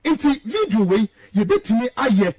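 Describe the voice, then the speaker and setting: Ghanaian guy ranting politics on radio, really high voice that jumps all over, fired up and emphatic, repeats himself to make the point. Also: very animated, unhurried, a little mellow. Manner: combative, emphatic, indignant, repeats words for emphasis. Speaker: radio show guest commentator. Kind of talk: heated political commentary mixing English and Twi.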